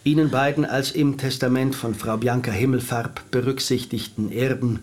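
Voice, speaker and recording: Man voicing a precise Swiss lawyer, measured legal phrasing. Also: medium pitch, fairly even intonation, a little mellow, natural voice. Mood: formal. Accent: Swiss German. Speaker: lawyer reading a will. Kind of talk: radio drama acting.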